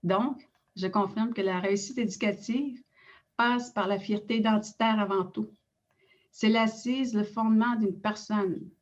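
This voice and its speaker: Innu woman speaking Quebec French, measured. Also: medium pitch, fairly even intonation, lo-fi sound. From Innu community in Quebec. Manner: measured, with frequent hesitations. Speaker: director of an Innu cultural institute. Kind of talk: reading a prepared personal account.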